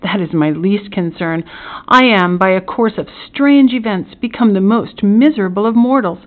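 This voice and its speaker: A woman's voice: medium pitch, normal speed, narrow, tinny audio, natural voice. A woman voicing a tormented, melodramatic young man in an impassioned, formal storybook reading. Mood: despairing. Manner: impassioned, exclamatory, formal old-fashioned phrasing.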